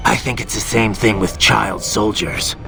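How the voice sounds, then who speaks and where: An actor playing a grim, weary, duty-bound cyborg soldier in a video game, with a gravelly voice and brooding, terse manner. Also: male voice, on the low side, steady tone, a little slow, over music. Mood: grim, resolute.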